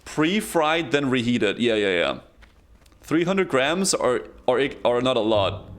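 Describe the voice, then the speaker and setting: Lively man streaming. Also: mid-range voice, slow. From Denmark. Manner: excitable, chatty, talks straight to chat. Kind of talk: casual live-stream commentary.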